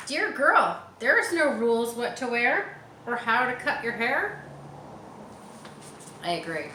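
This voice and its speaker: A woman reads a children's picture book aloud at a slow, unhurried pace. She stretches key words expressively and adds encouraging, chatty explanations for young listeners. The room echoes around her voice, with a little background noise. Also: fairly high pitch, sing-song, sharp tone. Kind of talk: read-aloud storytelling with chatty asides. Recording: roomy echo with background noise.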